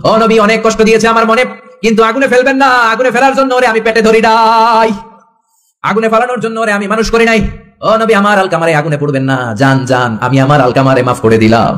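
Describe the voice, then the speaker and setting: A man's voice: mid-range voice, brisk, a bit bright, pitched below the performer's own voice, close to an everyday voice. A male preacher voicing an anguished elderly mother who pleads and complains about her son in a sermon story. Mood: desperate pleading. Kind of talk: acted dialogue within a sermon.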